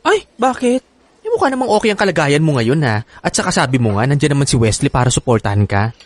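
Campy pinoy best friend, sassy and teasing, voice jumps all over. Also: male voice, a little high, big pitch swings, fast, dry recording. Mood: supportive. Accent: Filipino. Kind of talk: Filipino radio drama acting.

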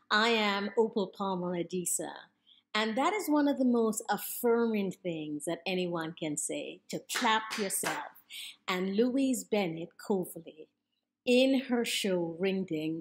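An older woman with a Jamaican accent, a poet giving a measured, emphatic spoken tribute over a video call from her home study. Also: mid-range voice, a little hiss or hum. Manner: measured, emphatic, with list-like repetition. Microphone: video call webcam.

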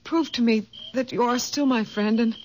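An actress plays a strange, withdrawn young woman with a very high voice, begging in distress. Her delivery is urgent and halting, in the manner of a radio mystery, on an old recording with noticeable noise. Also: a little mellow, dull audio, lightly acted. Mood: miserable, insistent. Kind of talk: 1970s radio mystery acting.

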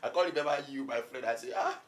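A man's voice: mid-range voice, quick pace, a bit bright, natural voice. A Nigerian man acting in a Pidgin screen drama as a broke, comically dramatic friend who makes excuses in a repetitive, pleading way. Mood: dramatic, self-pitying. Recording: echoey, reverberant room.

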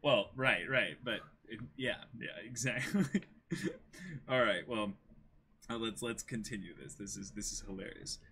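A man's voice: fairly low pitch, expressive, measured pace, bright, forceful tone, slightly rough. A young man co-hosting a dramatic reading, giving casual, joking commentary indoors. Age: young adult. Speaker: co-host of a manga dramatic reading. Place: indoors, in front of a backdrop.

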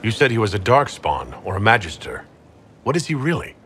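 An actor playing a calm, reassuring fantasy leader in a video game, speaking in a measured, gentle way. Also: male voice, fairly low pitch, slight background noise. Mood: serious. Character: calm, reassuring young-to-middle-aged male fantasy leader. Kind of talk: video game dialogue acting.

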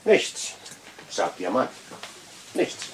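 An actor playing a polite but firm police detective in a German crime radio play. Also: male voice, drawn-out words, distant mic, a little hiss or hum.

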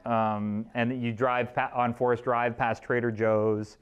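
Male, 30s, chiropractor presenting to a small group, smooth clear voice, relaxed and rambling. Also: medium pitch, unhurried, muffled recording. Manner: friendly, loose, many restarts and fillers. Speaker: chiropractor leading a workshop. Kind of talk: informal presentation with audience questions. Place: small clinic room with a seated audience. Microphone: room camera at a distance.

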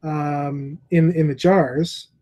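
A man in his forties chats casually as a show co-host. His voice sits a little high for a man. He is speaking on a video call through wired earphones. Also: steady tone, drawn-out words, mellow. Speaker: show co-host at a comic shop. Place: small room at home, indoors.

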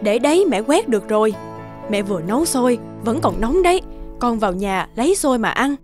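A woman voicing a poor, weary, self-sacrificing village mother in a children's folk-tale cartoon, gentle and plaintive. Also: on the high side, sing-song, fairly quick. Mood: tender, coddling. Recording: music behind the voice.

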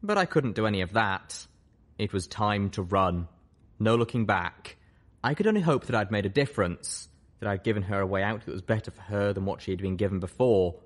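A man's voice: fairly low pitch, animated, fairly quick. A man reading a scripted first-person story aloud as an audiobook narrator. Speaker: audiobook reader.

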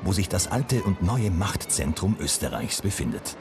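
Very deep-voiced male news narrator. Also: moderate pace, loud music behind the voice. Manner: calm, even-paced news delivery. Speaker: reporter narrating. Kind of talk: scripted voice-over narration.

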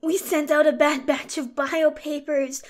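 A woman playing an excitable, brisk teenage gang girl in a comic dub. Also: high voice. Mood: worried.

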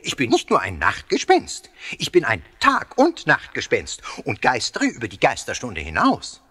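An actor playing a cheeky, boastful, excitable little ghost in a children's radio play, huffy and given to stammers and whoops. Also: male voice, medium pitch, moderate pace, husky, heavily acted.

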